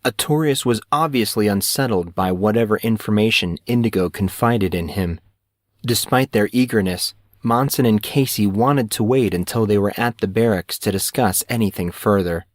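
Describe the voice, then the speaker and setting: Low-pitched male audiobook narrator. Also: moderate pace, no echo, studio quality. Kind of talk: scripted dramatic story narration.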